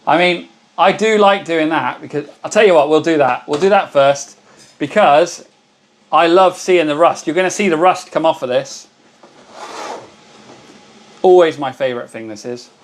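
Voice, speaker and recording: A hoarse man hosting a casual live stream, chatting and greeting viewers by name. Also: on the high side. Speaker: livestream host.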